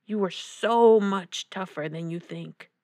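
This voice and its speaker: A woman's voice: medium pitch, slow, darkish tone, no room sound, clean studio sound. A woman voicing a loving, reassuring uncle in a children's storybook, speaking tenderly. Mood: tender, encouraging. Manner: tender, reassuring, mixes in Spanish endearments.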